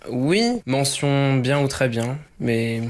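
A man's voice: medium pitch, fairly even intonation, takes it slowly. A young man talking casually and a bit hesitantly about his exams in a vox-pop, recorded away from the studio. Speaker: interviewed student. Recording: distant field recording.

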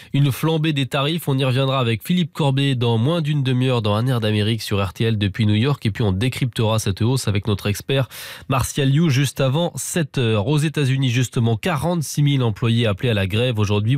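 A man reading a morning radio newscast, brisk and with some hesitations and restarts between items. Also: medium pitch, darkish tone, dry recording, clean studio sound. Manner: brisk, with frequent fillers and restarts. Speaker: news anchor. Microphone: studio microphone.

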